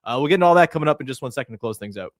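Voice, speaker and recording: A man's voice: medium pitch, expressive, very fast, no room sound, studio quality. A man, speaking as a sports podcast host. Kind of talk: sports podcast talk and sponsor reads.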